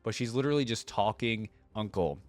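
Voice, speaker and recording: A man's voice: on the low side, fairly quick, faint music behind. A man hosting a reaction video, reading a story aloud and adding casual commentary.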